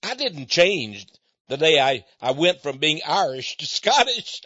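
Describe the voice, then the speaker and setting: A man from the United States rambles through a personal monologue in a folksy, digressive way, breaking into chuckles. His voice sits a bit high. The recording is dull and lo-fi, with a dry, close sound. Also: big pitch swings, unhurried, sharp tone, no echo. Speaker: speaker addressing listeners.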